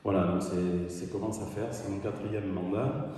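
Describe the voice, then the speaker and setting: A man's voice: low voice, steady tone, normal speed, warm tone. A man speaking as mayor at a welcome ceremony, hesitant, with many fillers and restarts. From France. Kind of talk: welcome speech. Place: town hall ceremony room. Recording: echoey hall from far off.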